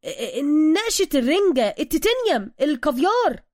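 A woman voicing an excitable, touchy but loyal streetwise man with a slangy Egyptian accent, in an audio story. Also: high voice, rapid-fire, dry recording, studio quality, pitched above the performer's own voice, close to an everyday voice. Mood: flustered. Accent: Egyptian, colloquial Cairene. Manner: gushing, slangy, defensive.

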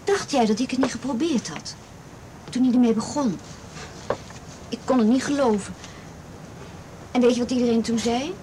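A woman playing an anxious, guarded teenage girl who speaks haltingly in a radio play. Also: on the high side, noisy background, close to an everyday voice. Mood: bitter, resigned. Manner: halting, repeats words. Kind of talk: Dutch radio play acting.

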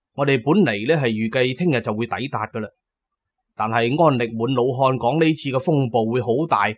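A man's voice: medium pitch, husky. A man narrating a first-person adventure story in a dramatic style.

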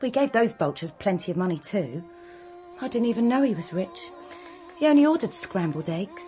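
An actress playing a defensive young widow in a radio drama, plain-spoken and wary. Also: female voice, mid-range voice, very animated, normal speed, mellow, faint music behind, close to an everyday voice. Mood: defensive, wistful. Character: defensive young widow, former cafe waitress.

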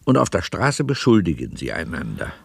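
An actor as the storyteller of a radio play, narrating scenes in a measured, sober, literary way. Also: male voice, low voice, wildly swooping pitch, creaky, husky, full character voice. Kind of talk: scripted narration.

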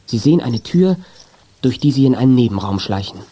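Dark, mellow male storyteller. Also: mid-range voice, lively intonation, brisk. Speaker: narrator. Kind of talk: scripted narration of a children's audio drama.

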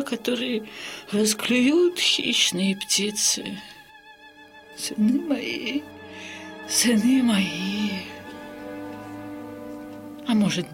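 An actress playing a tender, grieving old Cossack mother in an audio drama, lamenting in a plaintive, drawn-out way. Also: a little high. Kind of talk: Russian audio drama acting.

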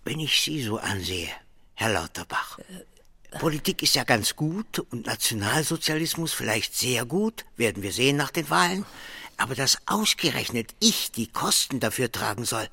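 An actor playing a blustering, bullying small-town merchant boss, gruff and sarcastic, in a German radio drama. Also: male voice, mid-range voice, animated, normal speed, sharp tone, exaggerated voice. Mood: contemptuous.

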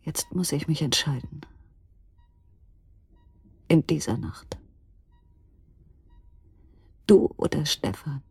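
A woman's voice: sits low, expressive, slow, vocal fry, pauses often, a little mellow, breathy edge, no room sound, heavily acted. An actress playing an anxious, searching woman with an earnest manner in a naturalistic radio drama. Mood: anguished, hushed. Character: anxious, searching woman making tense inquiries. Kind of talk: naturalistic radio drama dialogue and intimate monologue.

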